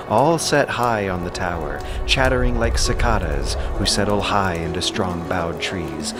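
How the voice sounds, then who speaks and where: Deep voiced guy reading an ancient epic, solemn storyteller, music playing behind. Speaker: audiobook narrator. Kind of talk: scripted epic narration.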